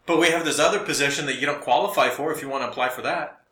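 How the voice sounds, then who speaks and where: Bright-voiced American man bantering in a roomy space. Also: on the high side, rapid-fire. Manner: jokey, acts out lines from conversations. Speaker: podcast co-host. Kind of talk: casual chat, anecdotes and joking. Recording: roomy, echoey sound.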